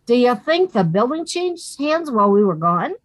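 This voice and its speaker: A woman's voice: on the high side, very animated, no echo. Woman playing a wisecracking sensible friend. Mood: dry. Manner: dry, sardonic, quick. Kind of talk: radio comedy acting.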